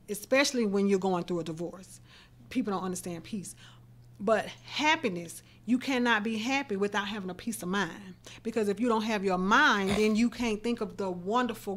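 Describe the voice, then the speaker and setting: A middle-aged woman hosting a radio interview in a small studio, talking earnestly and reflectively into a desk mic. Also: mid-range voice. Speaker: host. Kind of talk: casual interview chat. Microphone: desk mic on stand.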